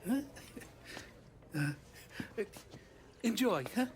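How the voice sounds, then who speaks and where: An actor with an Italian accent playing an eager-to-please restaurateur in a video game, fawning and exclamatory. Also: male voice, sits high, animated, very slow, full character voice. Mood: effusive. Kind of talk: video game cutscene acting. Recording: background music under the voice.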